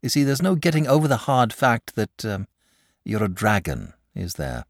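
A man's voice: mid-range voice, lively intonation, normal speed, vocal fry, dry recording, studio quality, pitched above the performer's own voice. A man voicing a frank, sensible young boy in a storybook, speaking politely and earnestly. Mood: frank, apologetic. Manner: matter-of-fact, polite, earnest.

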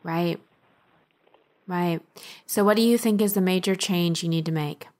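A woman with a voice a little on the low side speaks as a life coach and podcast host. She explains things in an instructive way and encourages the listener. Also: a little slow, darkish tone.

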